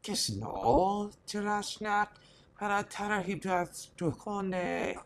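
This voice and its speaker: A man's voice: high voice, lively intonation, slow, pitched above the performer's own voice, heavily acted. A man voicing an eccentric, courtly alien desert traveler in an amateur audio play, muttering absent-mindedly and theatrically over music. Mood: speaking alien tongue. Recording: loud music bed behind voice.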